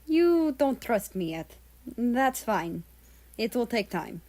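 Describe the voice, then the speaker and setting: A gentle, fussing man from a read-aloud story, voiced by a woman reader. He talks as someone looking after a pet cat, attentive and coaxing. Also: fairly high pitch, very animated, slow. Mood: gentle, reassuring.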